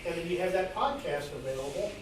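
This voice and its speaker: A man's voice: fairly high pitch, lively intonation, fast talker. A man offering suggestions in an informal discussion from the audience at a club meeting. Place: club meeting room, far from mic. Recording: very echoey room, distant mic, noisy.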